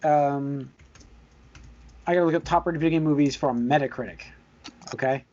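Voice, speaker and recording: A man's voice: on the high side, normal speed, dark tone. A man co-hosting a podcast, chatting casually and reading out rankings. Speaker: podcast co-host. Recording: dull, lo-fi voice recording.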